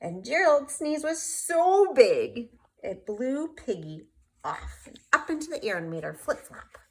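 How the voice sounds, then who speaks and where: A woman reading a children's picture book aloud, expressive and speaking to young listeners. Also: high-pitched, measured pace. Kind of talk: storybook read-aloud.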